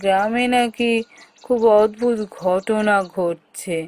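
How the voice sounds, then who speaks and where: A woman voicing a caring, motherly aunt in an animated ghost story, unhurried and gently cautioning. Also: mid-range voice, lightly acted.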